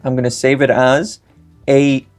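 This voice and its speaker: A man's voice: medium pitch, level tone, a little slow, soft background music. A young man whose first language is Greek, giving a step-by-step screen-recorded tech tutorial with frequent filler sounds. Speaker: tutorial host.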